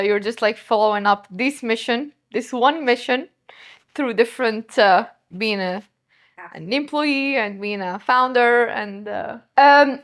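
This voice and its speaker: A woman's voice: on the high side, fairly bright tone. A woman from Morocco hosting a podcast interview, friendly, with loose phrasing and frequent small laughs. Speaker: podcast host.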